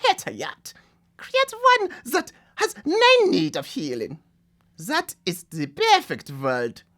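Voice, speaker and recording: A woman's voice: mid-range voice, wildly swooping pitch, slow, fairly bright tone, full character voice. A woman playing an imperious, grandiose lead scientist in a live radio drama, lofty and dismissive as if always giving a speech. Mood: cold disdain. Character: imperious, grandiose scientist leading a research lab.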